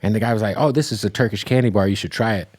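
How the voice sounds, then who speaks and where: A man's voice: fairly low pitch, very fast, darkish tone, slightly rough. A man hosting a podcast, asking easygoing questions in a casual interview. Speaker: podcast host.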